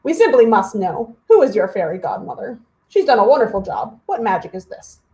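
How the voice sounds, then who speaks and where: A woman's voice: fairly high pitch, very animated, a little fast, warm tone. A woman voicing lazy, entitled young brothers from a storybook, haughty and incredulous. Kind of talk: storybook character voice.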